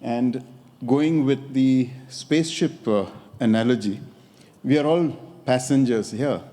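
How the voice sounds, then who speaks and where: A man in his sixties from India with an Indian accent gives a measured, pausing conference talk. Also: mid-range voice, dark tone. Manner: measured, pausing with occasional hesitations. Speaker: design academic giving a talk. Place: lecture hall at a podium. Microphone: podium microphone. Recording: echoey hall.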